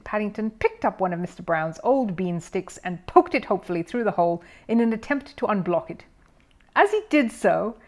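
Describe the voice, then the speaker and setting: Woman narrating a children's story. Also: on the high side.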